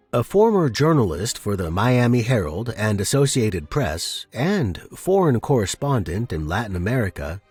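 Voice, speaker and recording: A man presenting and narrating as host of a fiction podcast, reading in an expressive storytelling style. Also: mid-range voice, breathy edge, dry recording.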